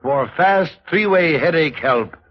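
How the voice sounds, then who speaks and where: A man's voice: fairly high pitch, expressive, takes it slowly, very noisy. A man reading a commercial as an announcer in 1940s radio style. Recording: muffled vintage radio recording.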